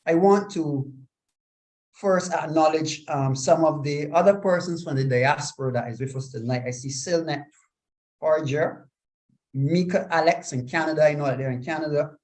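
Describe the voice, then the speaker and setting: A man with a slightly high voice, hosting a live online panel over a video call. He is courteous and a little apologetic, reading out viewer comments and greeting guests. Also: normal speed, a little mellow, poor audio. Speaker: panel moderator.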